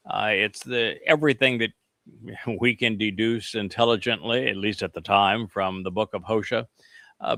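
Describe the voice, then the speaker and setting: A man with a bright, forceful tone delivers an earnest scripture lesson in an emphatic preaching cadence. He drifts into rambling asides with soft chuckles, and the recording is dry and close with little room sound. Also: on the low side, vocal fry, dry recording. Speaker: religious teacher. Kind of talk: scripture teaching with casual asides.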